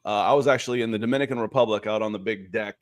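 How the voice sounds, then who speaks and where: A man's voice: fairly low pitch, rapid-fire, dry recording, studio quality. A man in his fifties hosting a casual live sports podcast, chatting conversationally with frequent asides. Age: 50s. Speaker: podcast host.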